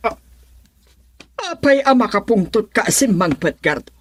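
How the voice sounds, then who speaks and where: A woman's voice: medium pitch, lively intonation, unhurried, slightly rough. An actress in a radio drama playing an anxious, reproachful mother who frets and remonstrates. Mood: worried.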